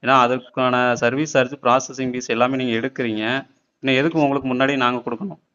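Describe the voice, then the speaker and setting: A man with a clear voice talks fast as a customer on the phone, putting persistent, probing questions to an agent. The recorded call is dull and lo-fi. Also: medium pitch, fairly even intonation, fairly bright tone. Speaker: caller asking about a loan.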